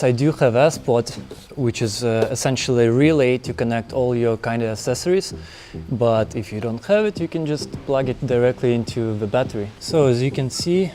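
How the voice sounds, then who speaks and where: Male how-to host, soft-edged dark tone, medium pitch, casual and rambling delivery, light music underneath. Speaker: host of a truck build how-to video. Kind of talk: casual unscripted hands-on walkthrough.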